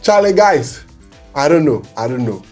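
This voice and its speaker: A man hosting a casual football chat show, excitable and animated, quick to laugh. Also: fairly high pitch, soft background music. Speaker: podcast host.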